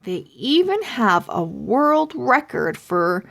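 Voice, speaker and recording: Woman as an easygoing, animated storybook dad. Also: on the high side, takes it slowly, creaky, pitched above the performer's own voice, full character voice. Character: chatty, easygoing father on a family outing.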